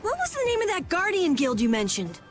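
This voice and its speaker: A woman voicing an eager, naive young boy adventurer in a video game, earnest and excitable. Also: very high-pitched, a little fast, over music, heavily acted. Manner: earnest, boyish. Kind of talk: video game dub acting.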